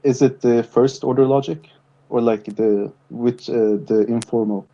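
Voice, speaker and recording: A young man in a casual online debate, speaking in a halting, defensive manner over a voice call. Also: medium pitch, steady tone, warm tone, lo-fi sound. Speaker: debate participant.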